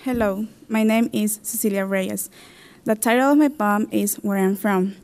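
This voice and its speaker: A woman's voice: medium pitch, moderate pace, a little mellow, a little hiss or hum. A teenage girl from El Salvador reciting a poem into a podium microphone. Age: teens. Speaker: student poet. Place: school stage at a podium. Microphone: gooseneck podium microphone.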